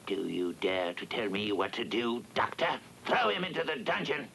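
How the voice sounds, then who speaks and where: A man's voice: lowish voice, very animated, moderate pace, gravelly, a little hiss or hum, full character voice. A man playing an irritable, secretive and suspicious king in a children's fairy-tale drama, imperious and threatening. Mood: angry, commanding. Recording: loud music behind the voice.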